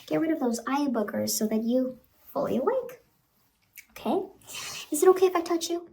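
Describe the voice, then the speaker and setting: A woman voicing a sinister ice cream truck clown in a soft, cajoling, falsely reassuring ASMR horror roleplay, close to the microphone. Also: sits high, expressive, moderate pace, vocal fry. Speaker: ASMR performer.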